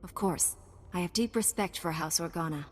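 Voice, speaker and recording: A woman playing a calm, dutiful knight heroine in a video game, formal and self-assured. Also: lowish voice, moderate pace, natural voice. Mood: composed, resolute. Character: composed, dutiful young female Jedi knight. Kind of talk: video game protagonist dialogue.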